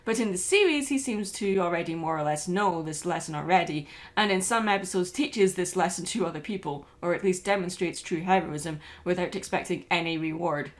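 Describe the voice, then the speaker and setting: A woman's voice: fairly low pitch, brisk, few pauses. A woman hosting and narrating a scripted video essay.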